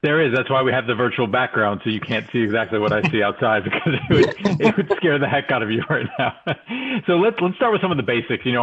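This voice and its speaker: A man's voice: medium pitch, lo-fi sound, slight background noise. An American man in his forties hosting a live interview in a scripted style over a video call. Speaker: show host. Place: indoors, video call with virtual background. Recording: slightly band-limited video call audio.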